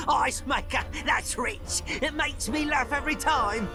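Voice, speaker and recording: A man's voice: very high voice, measured pace, over music. An actor playing a small, cocky rat henchman who sneers and boasts, in a video game. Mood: gleeful.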